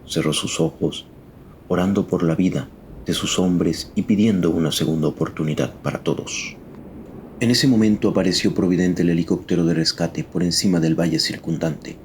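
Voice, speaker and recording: A man's voice: low-pitched, steady tone, moderate pace, a little mellow, slight background noise. A man narrating a scripted dramatic story in a solemn, suspenseful manner.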